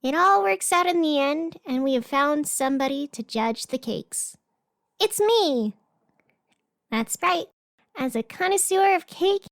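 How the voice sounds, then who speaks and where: High-voiced, chatty woman radio host played by an actress. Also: no echo, clean studio sound. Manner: casual, wry, self-talking asides. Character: chatty, upbeat young woman radio host in fictional town. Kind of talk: fiction podcast radio show monologue, chatty. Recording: music behind the voice. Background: music.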